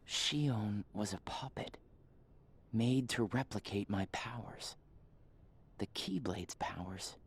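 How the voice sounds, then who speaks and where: A man playing a confused, troubled and quietly resentful teenage boy in a video game cutscene. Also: on the low side, slow pace, long pauses, husky, exaggerated voice. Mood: brooding, confused.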